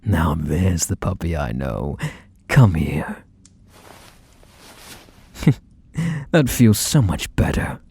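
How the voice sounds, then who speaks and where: A man acting as a possessive, manipulative mafia boss in an intimate ASMR roleplay, coaxing and softly menacing as he speaks to the listener. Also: rumbling low, very animated, drawn-out words, vocal fry, long pauses, breathy edge, heavily acted. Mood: tender, possessive.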